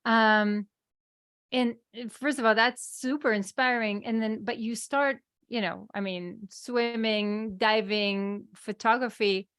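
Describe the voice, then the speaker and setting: Effusive woman host, somewhat high voice, dry close-mic recording. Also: steady tone, takes it slowly, a bit bright, smooth, no room sound. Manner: enthusiastic, effusive. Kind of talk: podcast interview.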